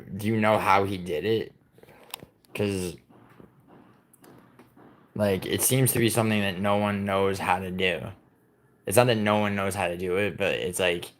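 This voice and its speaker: A man who was born in Italy and raised in New York, chatting casually on a live stream and answering his viewers' messages in a rambling, profane way, with many fillers and restarts. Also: lowish voice.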